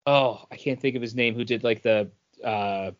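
A man's voice: mid-range voice, moderate pace, dull audio. A man co-hosting a podcast in a casual chat about comic books. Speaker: podcast co-host.

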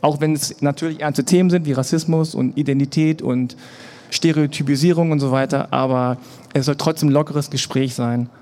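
A man's voice: fairly high pitch, fairly quick. A man from Germany, a podcast guest, talking casually on a live panel and acting out the voices of people he quotes. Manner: relaxed, acts out quoted voices of others. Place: live event at an embassy.

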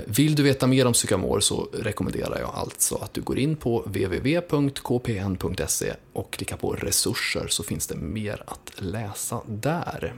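Male host, low husky voice, animated read over light music. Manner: reads a prepared introduction with occasional ehs. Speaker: podcast host. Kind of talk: scripted podcast introduction.

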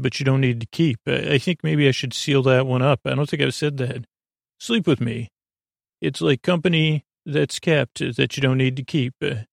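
Creaky-voiced man rambling soothingly. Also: mid-range voice, dry recording, studio quality. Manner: halting, stammering, trailing into tangents; soothing, lulling, gently whimsical. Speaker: host of a bedtime story podcast. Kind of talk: rambling, meandering sleep-podcast monologue.

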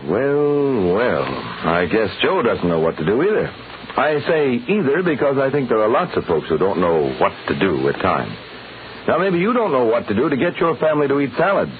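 A man's voice: mid-range voice, huge pitch swings, normal speed. Old-time radio announcer, male, tinny and hissy. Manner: polished, emphatic sales delivery. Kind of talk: 1940s radio advertising and scripted narration.

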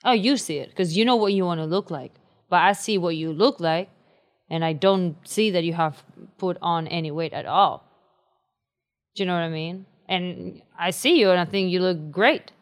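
Brief: woman podcast co-host, lowish voice, reassuring. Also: moderate pace. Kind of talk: casual chat.

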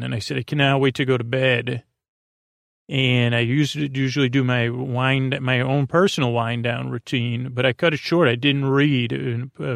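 Male sleep podcast host: gravelly, creaky delivery, halting and meandering, recorded dry and close. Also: mid-range voice, no room sound. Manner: meandering, halting, repetitive, trailing off. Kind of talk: rambling bedtime sleep podcast monologue.

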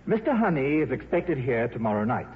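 An actor playing a formal, courteous aviation chief in a 1950s radio drama. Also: male voice, medium pitch, sing-song, natural voice. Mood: formal, concerned. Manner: formal, measured. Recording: tinny, noisy 1950s radio broadcast.

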